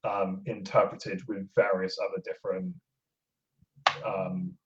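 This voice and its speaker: A man's voice: low voice, little pitch movement. Hesitant man, dull audio. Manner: hesitant, halting. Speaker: podcast co-host. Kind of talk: casual podcast chat.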